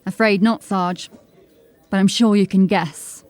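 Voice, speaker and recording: A woman playing a diligent, drily witty police constable in a fantasy audio drama, articulate and measured. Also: medium pitch, steady tone, darkish tone, no room sound, natural voice. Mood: dry, informative.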